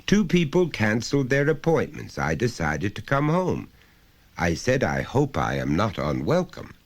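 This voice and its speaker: A man's voice: lowish voice, wildly swooping pitch, a little slow, voice pushed up, exaggerated voice. A man voicing an overbearing, falsely jovial middle-aged father in a short story, with a precise, pompous, lecturing manner.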